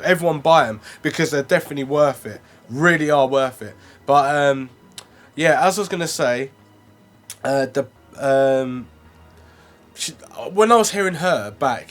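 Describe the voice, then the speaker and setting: A young man reviewing earphones in a casual, rambling way, full of fillers and restarts. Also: mid-range voice. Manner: rambling, self-correcting, lots of fillers. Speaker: product reviewer.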